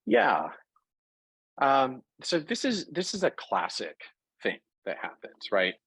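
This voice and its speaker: A man being interviewed about his work in fashion, talking in a lively, conversational way and working through examples with frequent restarts. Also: medium pitch, slow, vocal fry, breathy edge, no echo, rough recording. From Puerto Rico. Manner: animated, talks through examples with self-corrections. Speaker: interview guest, fashion product executive.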